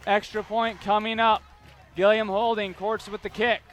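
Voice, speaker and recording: A man's voice: high voice, little pitch movement, moderate pace, a bit bright, faint music behind. A young man giving live play-by-play commentary as a sports announcer at a game.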